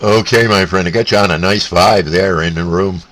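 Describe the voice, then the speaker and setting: A North American man chatting casually as a CB radio operator, in a halting, laid-back way. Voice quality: deep. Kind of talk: casual two-way radio chat. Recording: faint static and radio hiss.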